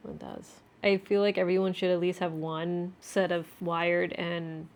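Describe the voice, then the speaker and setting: A woman's voice: lowish voice, little pitch movement, measured pace, lo-fi sound, slight background noise. A woman chatting casually and spontaneously as she tries out a product. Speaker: host's wife, guest trying the product. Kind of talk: casual chat, spontaneous reactions.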